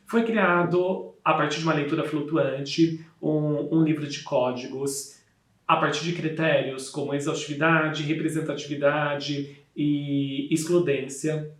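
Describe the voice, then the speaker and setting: Higher-voiced Brazilian man presenting research, echoey room. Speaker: academic researcher presenting a paper. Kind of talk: formal scholarly presentation.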